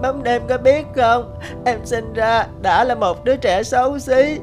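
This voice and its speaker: A woman voicing a lonely, wounded little child in an animated fairy tale, plaintive and tearful. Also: fairly high pitch, a little slow, music in the background, natural voice. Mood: sorrowful.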